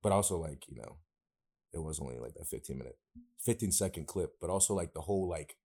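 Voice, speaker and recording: A man's voice: rumbling low, lively intonation, fast, creaky, warm tone. A young man co-hosting a podcast, chatting in a laid-back, slangy way and often agreeing. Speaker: podcast co-host. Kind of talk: casual podcast chat.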